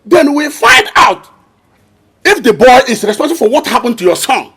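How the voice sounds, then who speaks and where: An actor playing a community elder who tries to calm the others. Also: male voice, very high voice, moderate pace, husky, exaggerated voice.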